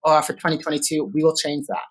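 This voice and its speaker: A man's voice: a little high, level tone, fast. A Canadian-accented man talks as a guest on a business podcast. He is articulate and methodical, running through lists of points. His speech is filled with ums and uhs as he goes. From Toronto, Canada. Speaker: guest business growth consultant. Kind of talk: conversational podcast interview.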